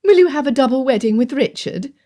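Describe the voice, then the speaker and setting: A woman voicing a direct, commanding older aristocratic aunt who talks in brisk, pointed questions. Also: high voice, lively intonation, warm tone, voice pushed up.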